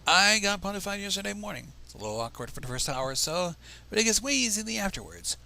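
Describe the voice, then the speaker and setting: A man's voice: high-pitched, sing-song, fast talker, creaky, pushed and bright, pitched above the performer's own voice, heavily acted. A man voicing a laid-back young male pony with a casual, slangy dude drawl in a story reading. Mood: laid-back, friendly.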